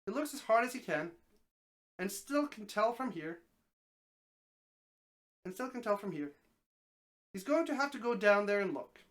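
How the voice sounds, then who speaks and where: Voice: mid-range voice, big pitch swings, moderate pace, pauses often, fairly bright tone. A person giving a live dramatic reading of a story, narrating expressively with the occasional stumble and repeated phrase. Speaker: streamer reading a story aloud.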